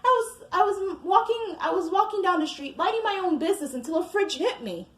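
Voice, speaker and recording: A woman's voice: very high voice, expressive, brisk, fairly bright tone, echoey room. A woman playing a scoffing, hapless man in a comic story, scornful and exclaiming. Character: scoffing, hapless man insisting he was blameless.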